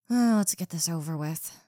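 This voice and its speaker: A young woman playing a rude, insecure popular college girl in a one-sided roleplay, sharp-tongued and defensive, stumbling over her words. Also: fairly low pitch, no room sound, clean studio sound. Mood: hostile, irritated. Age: young adult.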